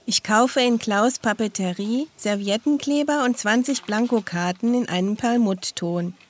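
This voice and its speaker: A woman's voice: fairly high pitch, normal speed. A woman reading scripted documentary narration in a precise, matter-of-fact manner. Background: music.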